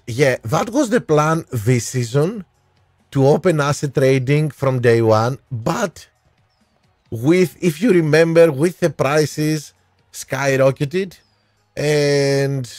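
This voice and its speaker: A man in his thirties streaming a racing game live, calling strategy and answering his chat by name with frequent pauses, on a headset mic in a small room. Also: fairly high pitch, a little mellow, dry recording, studio quality. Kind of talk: live gameplay commentary and chat replies.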